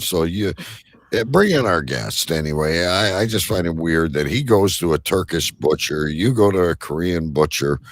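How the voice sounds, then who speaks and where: A man with a low, creaky, husky voice chats as a show co-host. His delivery is casual, with pitch that swings in a lively way. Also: no room sound. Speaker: co-host of a live online show. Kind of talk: casual chat.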